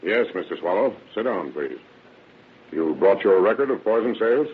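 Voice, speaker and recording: An actor playing a confident, dogged sheriff in an old radio drama, firm and matter-of-fact. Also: male voice, on the low side, lively intonation, moderate pace, husky. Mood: confident, brisk. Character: confident, dogged male sheriff, brisk and authoritative. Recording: tinny narrow-band vintage radio recording.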